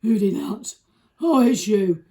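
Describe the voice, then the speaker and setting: A woman's voice: mid-range voice, expressive, dark tone. Woman putting on a big scolding elephant. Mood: disgruntled, scolding. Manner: slow, emphatic scolding. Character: big, indignant male elephant.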